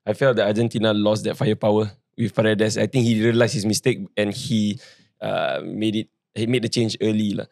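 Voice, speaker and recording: Hoarse man hosting football chat. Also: fairly low pitch, flat delivery, fairly quick, a little mellow, no echo, clean studio sound. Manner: chatty, interjects often. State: hoarse, lost his voice. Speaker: podcast host.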